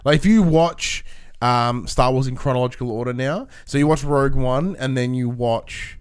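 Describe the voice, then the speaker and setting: A man's voice: mid-range voice, moderate pace, clean tone. A man co-hosting a movie podcast in casual, informal conversation, swearing freely as he talks. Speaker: podcast co-host.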